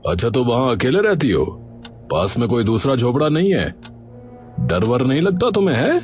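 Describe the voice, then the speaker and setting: A man playing a scheming village headman in a cartoon story, smooth at first but bossy and dismissive. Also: mid-range voice, very animated, normal speed, faint music behind, lightly acted. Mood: nosy, ingratiating. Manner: falsely friendly, then bossy and dismissive. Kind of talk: Hindi cartoon story acting.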